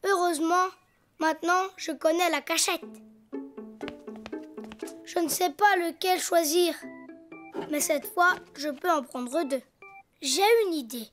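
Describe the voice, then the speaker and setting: A performer voicing a cheeky, excitable little boy donkey in a cartoon, with a childlike voice and a sing-song, self-important way of talking. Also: slow, pauses often, full character voice. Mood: delighted. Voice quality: high, childlike. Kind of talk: cartoon voice acting. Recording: music behind the voice.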